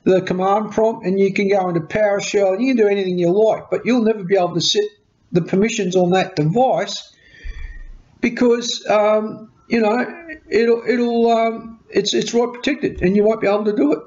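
A middle-aged man with a fairly high voice, nursing a cold, chatting loosely to a webcam. He stumbles and repeats words as he explains. The sound is dull and lo-fi, recorded in a small cluttered workshop room. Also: normal speed. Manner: chatty, repeats words, animated hand gestures. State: has a cold. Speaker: tech vlogger. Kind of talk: casual rambling explanation to camera. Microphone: webcam at desk.

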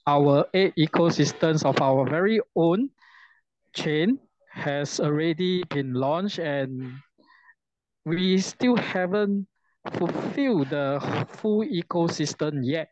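Slow-talking man hosting a video call. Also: on the high side, expressive, darkish tone, a little hiss or hum. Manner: slow, reassuring, repeats himself. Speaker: Zoom session host. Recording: dull video call audio.

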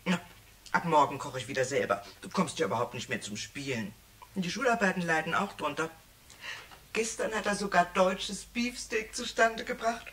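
Kindly sick mum, lowish voice, old radio drama, noisy vinyl. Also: female voice, animated, moderate pace, a bit bright, far from the mic. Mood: kindly, proud. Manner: kindly, fussing. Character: caring, frail mother recovering from illness. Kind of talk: 1960s German children's radio play acting.

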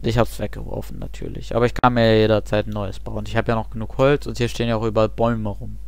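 A man's voice: on the low side, animated, fast, vocal fry, clean tone. A young man chatting casually and rambling with self-mocking asides while he plays a game. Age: young adult. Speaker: let's play host. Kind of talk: casual gameplay commentary.

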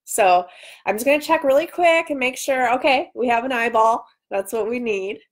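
A woman's voice: a little high, moderate pace. A woman in her thirties chatting casually and playfully as she hosts a livestream from home on a webcam. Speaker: author hosting a livestream. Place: small room at home, indoors. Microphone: webcam at arm's length.

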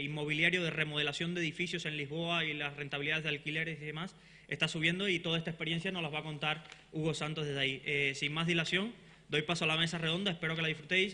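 A man in his thirties with a Cuban accent speaks at a conference lectern mic, introducing a panel. His voice is very bright and fairly high, held in a flat, even melody, broken by fillers and restarts. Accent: Cuban Spanish. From Cuba. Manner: brisk, with frequent fillers and restarts. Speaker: event host introducing a panel. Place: conference hall, standing at a lectern. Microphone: lectern microphone.

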